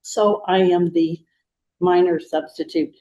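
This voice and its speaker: Hoarse woman giving a financial report. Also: fairly low pitch, fairly even intonation, unhurried, dark tone, a little hiss or hum. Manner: apologetic and plain-spoken. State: hoarse, froggy throat with coughs. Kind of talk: meeting presentation. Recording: dull lo-fi recording.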